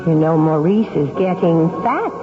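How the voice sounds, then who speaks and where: A woman's voice: low voice, animated, unhurried. An actress playing a gossipy female acquaintance, knowing in manner, in old-time radio drama style. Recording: muffled radio recording under loud music.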